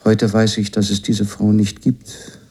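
An actor playing a resigned middle-aged man making a sober confession in a radio drama, with a husky, dark voice. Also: lowish voice, level tone, measured pace. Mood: resigned, reflective. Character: reflective, resigned man confessing, four times married.

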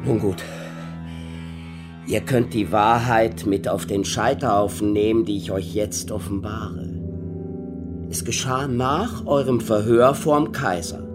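An actor playing an arrogant, scheming and ruthless papal nuncio in a German radio play, haughty and vehement. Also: male voice, lowish voice, slow, music in the background. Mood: cold, self-righteous. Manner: haughty, vehement, erupting into shouts.

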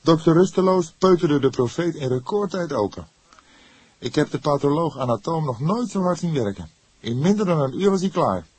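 A man voicing a young, hot-headed police detective in an audiobook, excitable and indignant, with an agitated, emphatic delivery. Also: fairly high pitch, normal speed, slightly rough, no room sound, voice pushed up. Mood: matter-of-fact. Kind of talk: audiobook character voice.

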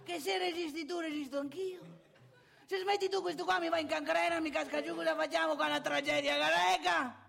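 A woman's voice: very high-pitched, steady tone, fairly quick, creaky, fairly bright tone, soft background music, exaggerated voice. A woman playing a boastful, nostalgic old man in a theatrical comic monologue, rambling and emphatic. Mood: lusty, affectionate. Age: older. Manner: rambling, emphatic, addresses listener with rhetorical questions.

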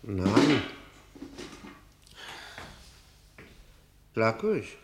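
An actor playing an embittered, haunted lifer in a German radio drama, brooding and intense, with halting dramatic pauses. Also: male voice, on the low side, sing-song, slight background noise. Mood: tense, probing. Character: embittered, haunted male prisoner serving life.

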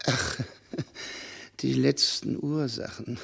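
An actor in a radio drama playing a slow-witted, awkward aristocratic husband who speaks haltingly and vaguely in few words. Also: male voice, medium pitch, fairly even intonation, gravelly, sharp tone, breathy edge, heavily acted. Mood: awkward, vaguely polite.

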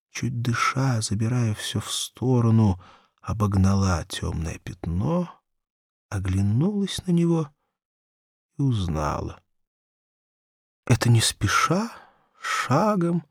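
A man narrating a children's story as an audiobook, theatrical and expressive, with dramatic pauses and emphasis. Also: fairly low pitch, gravelly, breathy edge, no echo, studio quality.

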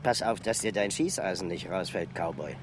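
An actor playing a dry, teasing, deadpan police detective in a German radio crime drama. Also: male voice, low-pitched, normal speed, noisy background, natural voice.

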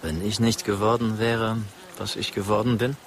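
An actor playing a melancholy entrepreneur, musing in a subdued way in a radio play. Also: male voice, on the low side, flat delivery, normal speed, slight background noise, natural voice. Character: weary male entrepreneur, melancholy.

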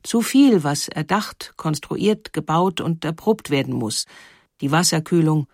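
A woman's voice: deep voice, animated, a little slow, darkish tone, breathy edge, no room sound, studio quality. A woman reading a scripted documentary narration in a composed, carefully phrased way.

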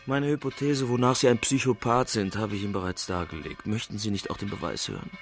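An actor playing an analytical, reproachful country doctor in a German radio drama, with a formal, pointed, lecturing manner. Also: male voice, fairly low pitch, steady tone, fast, faint music behind, close to an everyday voice. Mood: coolly analytical. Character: analytical, reproachful male country doctor confronting his friend.

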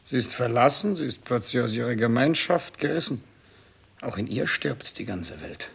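A man playing a frightened, imperious dying king clinging to life in a 1960s German radio play, with a gravelly, husky, declamatory delivery. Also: mid-range voice, moderate pace. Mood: defiant despair.

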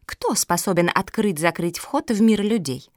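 A woman voicing a sharp-tongued, cynical female squirrel in a storybook, domineering and insinuating. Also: medium pitch, lively intonation, normal speed, dry recording, studio quality, close to an everyday voice. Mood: suspicious, demanding. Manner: insinuating, triumphant, interrogating.

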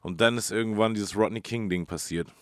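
A man's voice: lowish voice, fairly quick, fairly bright tone, no room sound. A man hosting and telling music history in a casual, colloquial conversational style. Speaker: host. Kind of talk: casual storytelling about hip-hop history.

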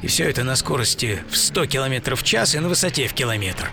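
An actor playing a seasoned Soviet test pilot, narrating in the first person with a calm, wry, unhurried manner. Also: male voice, mid-range voice, gravelly, noisy background. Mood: reflective, wry. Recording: loud music behind the voice.

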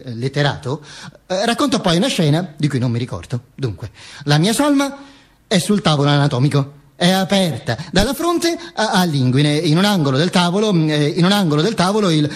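An actor playing a vain dead dictator in a theatrical monologue, pompous and halting, with stammered repetitions. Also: male voice, a little high, breathy edge. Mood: dry, morbid. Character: vain dead dictator narrating from beyond the grave. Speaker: stage actor performing a monologue.

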